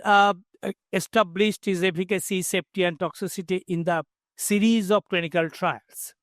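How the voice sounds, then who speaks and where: High-voiced man explaining, halting delivery. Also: fairly bright tone, dry recording. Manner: halting, emphatic repetition. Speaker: expert panelist. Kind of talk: explanatory webinar answers.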